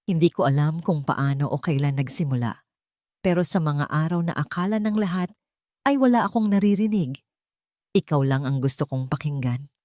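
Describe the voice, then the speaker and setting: Casting: woman narrator as wealthy romantic male lead, earnest and emotional, slow intimate delivery, dry close sound. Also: deep voice, dark tone, dry recording, close to an everyday voice. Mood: loving, intimate. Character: earnest, tender wealthy man confessing hidden love. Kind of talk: dramatic romance audio story.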